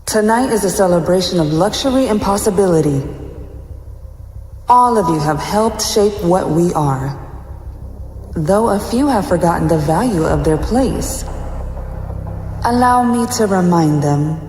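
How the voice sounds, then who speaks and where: Imperious crime boss in echoing hall. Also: female voice, fairly low pitch, lively intonation, unhurried, long pauses, a little mellow, a little hiss or hum. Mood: grandly gracious. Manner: grand, commanding speechmaking. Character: imperious female crime boss, smooth, menacing villain. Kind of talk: villain's podium speech in audio drama. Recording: echoey hall reverb, faint music.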